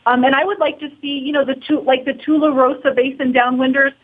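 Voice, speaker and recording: Thin, phone-like audio carries a woman's fairly high voice. She is a documentary producer being interviewed, explaining informally and a little hesitantly. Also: steady tone, brisk. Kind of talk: casual interview talk. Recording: thin, phone-like narrow band.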